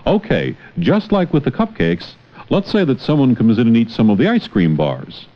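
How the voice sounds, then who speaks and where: A man's voice: medium pitch, wildly swooping pitch, normal speed, dull audio. Male educational-film instructor, questioning and encouraging, hugely varied intonation, noisy worn 16mm sound. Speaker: teacher, narrator. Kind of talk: educational film dialogue with a child. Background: clicks and heavy film noise.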